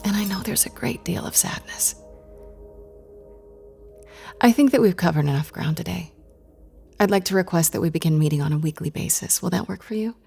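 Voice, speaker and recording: A woman's voice: lowish voice, normal speed, creaky, long pauses, a little mellow, breathy edge, soft background music. A woman playing an earnest, strong-willed therapist in an audio drama, speaking articulately and expressively. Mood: calm, reassuring.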